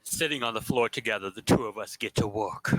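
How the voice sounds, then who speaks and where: A man reading game narration aloud in a plain, steady way. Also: on the low side, fairly quick, poor audio.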